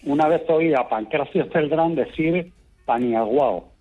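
A man calling in to a radio talk show, telling his stories in a calm, unhurried way. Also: fairly high pitch, soft background music. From Extremadura, raised in Madrid. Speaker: caller. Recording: muffled phone line.

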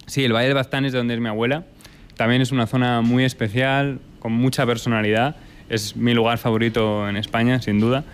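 Lively Italian-Spanish man talking food. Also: medium pitch, brisk, smooth. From Italy and Spain. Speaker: restaurant owner. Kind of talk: interview answers.

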